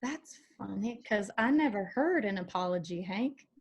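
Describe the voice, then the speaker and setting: A woman's voice: medium pitch, animated, normal speed, slightly rough, dull audio. A woman in a screenplay table read playing a sarcastic, wounded grown daughter with a dry, biting delivery. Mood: bitter, biting.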